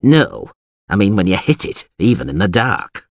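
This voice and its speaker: A man's voice: on the low side, very animated, normal speed, dry recording, studio quality. A man voicing a podgy, hot-tempered, blustering small-town alderman in an audiobook, full of exclamations and hesitations. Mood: blustering, chatty. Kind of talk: audiobook character acting.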